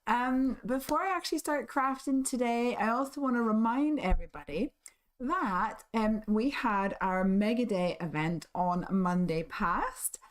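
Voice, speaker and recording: A woman hosting a live crafting stream, husky and hoarse from losing her voice, chatting in a friendly way with many ums and small laughs. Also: fairly high pitch, unhurried. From United Kingdom. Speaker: craft stream host and shop owner.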